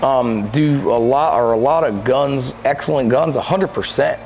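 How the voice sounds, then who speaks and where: Thirtysomething guy rambling on about his stories, mid pitch, faint hiss behind. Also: moderate pace. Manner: animated, gestures a lot, rambling storytelling. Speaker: podcast co-host and shooting club manager. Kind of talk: casual chat. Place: lodge room with stone fireplace, seated in armchair.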